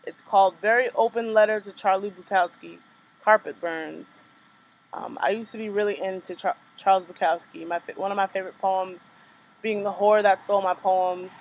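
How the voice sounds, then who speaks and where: A young woman performing her own spoken word poems in a rhythmic, measured delivery, with casual chatty asides between pieces. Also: mid-range voice, low-quality recording. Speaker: poet performing her work. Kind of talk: spoken word poetry reading.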